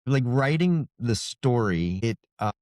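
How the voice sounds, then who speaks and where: A man's voice: mid-range voice, expressive, measured pace, a little mellow, no echo, studio quality. A man speaking conversationally as an author in an interview, explaining how he writes. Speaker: author being interviewed about his book.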